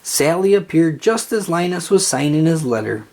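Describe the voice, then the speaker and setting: A children's story reader giving an expressive read-aloud narration. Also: medium pitch, moderate pace, a little hiss or hum.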